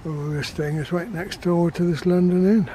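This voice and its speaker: A man's voice: high-pitched, normal speed. A man chatting casually as he films a walk outdoors on a handheld camera. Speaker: vlogger filming a walk. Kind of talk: casual chat while walking. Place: outdoors in seaside town streets. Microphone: handheld camera microphone. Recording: noisy outdoor camera audio, street ambience.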